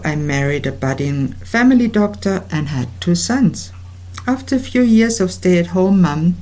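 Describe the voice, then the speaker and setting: Low, measured middle-aged woman with a German accent, show host reading her intro. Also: a little mellow. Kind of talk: scripted personal introduction.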